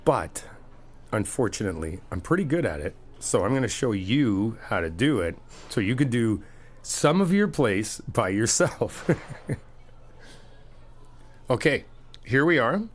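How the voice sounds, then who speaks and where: A man's voice: mid-range voice, sing-song, measured pace, long pauses. A man doing a friendly ASMR roleplay, chatty and teasing, reassuring the listener as he helps out. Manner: reassuring, helpful.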